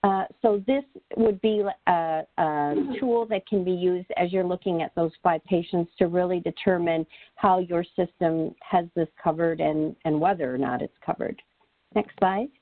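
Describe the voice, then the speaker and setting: A woman's voice: on the low side, normal speed, no room sound. A woman explaining slides as a presenter on a conference call. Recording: dry, narrow phone-like call audio.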